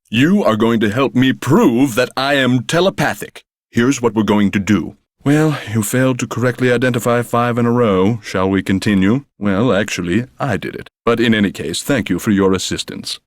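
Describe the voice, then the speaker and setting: Casting note: actor as a condescending game psychic teacher, somewhat low voice, stiff formal diction, dry booth sound. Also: male voice, dry recording, lightly acted. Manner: haughty, precise, uses long formal words. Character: pompous male psychic school headmaster, condescending. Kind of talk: video game voice acting. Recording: dry studio recording.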